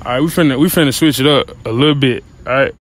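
A man's voice: medium pitch, quick pace, a little hiss or hum. A young man hosting a casual street interview outdoors at night, talking in loose slang. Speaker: host.

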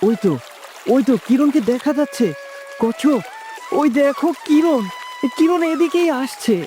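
A man plays a young fairy-tale prince, earnest and affectionate. His voice is extremely high for a man and tender in delivery, with music clearly behind it. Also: measured pace, warm tone, a faint crowd. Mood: excited. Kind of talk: cartoon voice acting.

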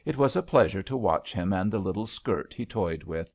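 A man's voice: deep voice, lively intonation, normal speed, vocal fry, a little mellow. A man reading an old-fashioned love story aloud as a scripted audiobook narration. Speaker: audiobook reader. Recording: slightly dull, lo-fi audiobook recording.

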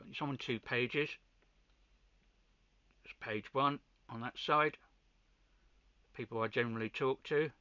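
A man giving an informal hands-on video demonstration, pausing between short phrases, with muffled sound. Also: medium pitch, bright, forceful tone, dry recording. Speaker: amateur radio hobbyist presenter.

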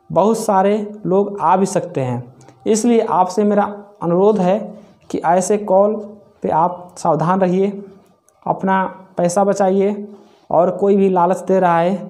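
A man's voice: sits high, darkish tone. A man, presenting a warning straight to camera. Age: 20s. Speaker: video presenter. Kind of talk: scam warning addressed to camera.

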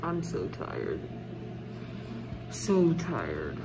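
A woman's voice: extremely low pitch, very animated, extremely slow, very noisy. A young woman, a student athlete, chatting casually into a phone held at arm's length, laughing and teasing herself. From Canada. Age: young adult. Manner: chatty, laughing, self-mocking. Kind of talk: casual vlog chat.

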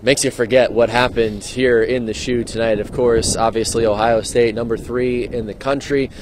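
A man's voice: medium pitch, steady tone, normal speed. A man in his thirties giving a live postgame TV report from an outdoor football stadium at night, speaking into a handheld mic in an earnest, questioning way. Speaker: sports reporter. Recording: faint stadium noise outdoors.

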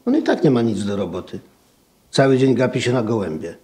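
An older actor playing a stern, insistent elderly auditor with a dry manner in a film drama. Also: male voice, medium pitch, big pitch swings, brisk, a little mellow, slightly rough. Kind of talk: naturalistic film drama dialogue.

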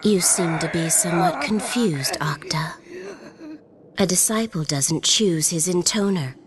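A woman acts out an aloof video game sorceress with a slow, languid delivery. She murmurs with condescending menace over faint ambient noise. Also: lowish voice, close to an everyday voice. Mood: cold, condescending. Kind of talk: video game cutscene acting.

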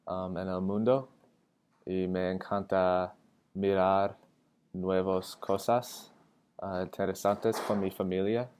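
An eighteen-year-old American man, a native English speaker, giving a halting self-introduction in learner's Spanish and searching for words. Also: low voice, darkish tone, clear, resonant tone. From Atlanta, United States. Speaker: college student. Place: indoor room with tall windows. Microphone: webcam framing with wired earphones.

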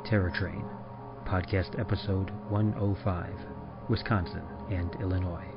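A man narrating scripted podcast credits in a measured, formal announcing manner. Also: deep voice, steady tone, creaky. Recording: dull sound with music behind. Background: music.

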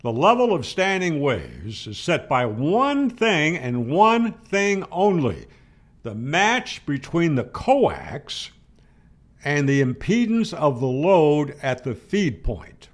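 A man's voice: a little high, very animated, slow. An older man giving an explanatory tutorial, speaking plainly and emphatically and answering his own questions. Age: older, 60s or 70s. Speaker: amateur radio instructor, host. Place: home room, indoors. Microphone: studio microphone on boom arm, close.

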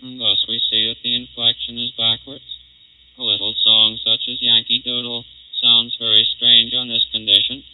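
A man giving a formal, measured technical demonstration in 1930s style, his speech turned synthetic and robotic by a vocoder. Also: mid-range voice, flat delivery, clear, resonant tone. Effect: synthetic robotic vocoder processing. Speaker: laboratory demonstrator. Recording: muffled, phone-like 1939 test disc recording.

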